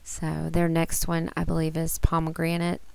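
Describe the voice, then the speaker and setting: A woman in her thirties giving a relaxed, chatty voice-over about cleaning her home kitchen. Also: low-pitched, moderate pace, gravelly, clean tone, no echo. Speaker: cleaning vlogger.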